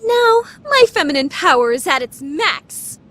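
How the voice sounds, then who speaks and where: A woman's voice: high-pitched, very animated, a little slow, a bit bright, full character voice. A woman playing a proud, scheming teenage student council vice president in an anime radio play, formal and theatrical. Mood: scheming, composed. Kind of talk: anime fan dub radio play acting.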